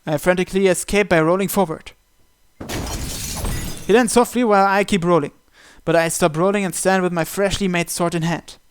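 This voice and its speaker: A man reading a visual novel aloud in an expressive, dramatic first-person narration with occasional stumbles. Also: high voice, normal speed, clean tone.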